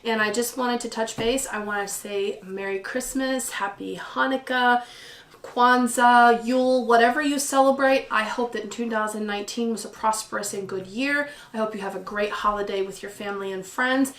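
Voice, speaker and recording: A woman vlogging casually to camera, a little hoarse and clearing her throat now and then, chatty and excitable. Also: fairly high pitch, normal speed, echoey room. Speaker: photography vlogger. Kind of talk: casual vlog talk to camera. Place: living room at home by a patio door.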